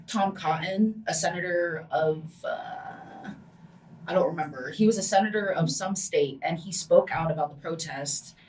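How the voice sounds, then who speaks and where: A woman's voice: fairly low pitch, normal speed, slight background noise. Chatty young woman, slightly distant mic. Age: young adult. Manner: chatty, many "like" fillers, animated retellings. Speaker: podcast co-host, university student. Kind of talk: casual chat.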